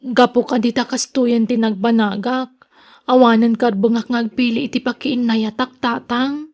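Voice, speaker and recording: A woman's voice: a little high, steady tone, moderate pace, darkish tone. A woman with a Filipino accent playing a regretful young single mother in a radio drama, plaintive and hesitant. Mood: torn, plaintive. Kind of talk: Ilocano radio drama acting.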